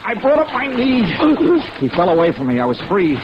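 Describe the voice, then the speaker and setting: A man's voice: high-pitched, very animated, moderate pace. An American actor playing a dogged, hard-boiled insurance investigator who narrates his case in clipped, dramatic 1950s radio noir style. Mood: tense, urgent. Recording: dull, noisy vintage radio transcription.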